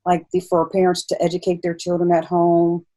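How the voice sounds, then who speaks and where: A woman with a slightly low voice hosts a casual interview. She speaks earnestly, often repeating a phrase to drive it home. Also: monotone, normal speed, warm tone. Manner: earnest, repeating words for emphasis. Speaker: host, interviewer.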